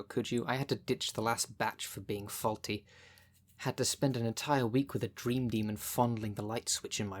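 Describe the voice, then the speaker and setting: Casting: performer as sardonic female witch running a potion shop, mid-low androgynous pitch, matter-of-fact and bossy, ASMR roleplay delivery. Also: natural voice. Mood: wry, defensive. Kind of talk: ASMR roleplay, speaking directly to the listener.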